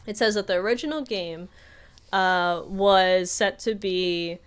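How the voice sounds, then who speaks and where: A woman's voice: mid-range voice, measured pace, clear, resonant tone. A woman co-hosting a podcast in a casual conversational style, with wry, dry asides. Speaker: podcast co-host. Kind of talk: casual chat, paraphrasing notes.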